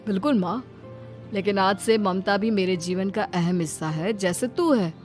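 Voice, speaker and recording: A woman's voice: medium pitch, normal speed, darkish tone, faint music behind, pitched below the performer's own voice, close to an everyday voice. A woman voicing a practical thirty-year-old son in a cartoon family drama, explaining in a casual, mildly exasperated manner. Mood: concerned.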